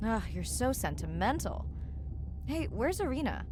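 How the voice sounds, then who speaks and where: A woman playing a warm, earnest and playful young diver and ocean researcher in a naturalistic video game performance. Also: on the high side, big pitch swings, measured pace, background noise.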